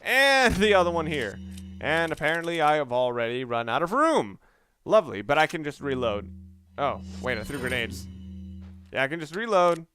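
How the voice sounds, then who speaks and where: A man's voice: a little high, big pitch swings, normal speed, sharp tone, faint music behind. A man doing casual, rambling video game commentary, informal and opinionated, with some mild swearing. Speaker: let's play commentator.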